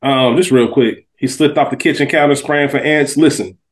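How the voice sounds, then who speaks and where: A man's voice: mid-range voice, steady tone, normal speed. A man co-hosting a podcast in casual chat, blunt and slangy with frequent swearing. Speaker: podcast co-host. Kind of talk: casual chat reviewing a TV series.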